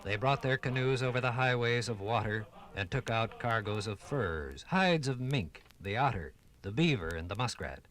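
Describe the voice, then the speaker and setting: A man narrating a scripted 1950s radio documentary in a grand, dramatic manner with measured phrasing. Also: medium pitch, animated, a bit bright.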